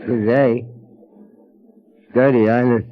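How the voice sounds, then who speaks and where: A man's voice: medium pitch, fairly even intonation, slow pace, music in the background, lightly acted. An actor playing a simple, fearful beachcombing man with uneducated rustic phrasing and a repetitive way of talking, in 1940s radio style. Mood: dull, stubborn. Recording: muffled vintage radio recording.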